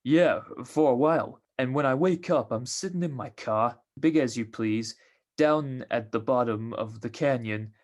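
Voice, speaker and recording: An actor playing a greedy, none-too-bright small-time tough guy in an old-time radio crime drama, talking in streetwise slang. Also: medium pitch, natural voice. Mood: boastful. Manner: drawn-out streetwise gangster slang.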